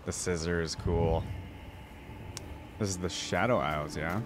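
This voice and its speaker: A man's voice: low-pitched, unhurried, background noise. A man hosting a reaction video, giving casual commentary with short, impressed remarks.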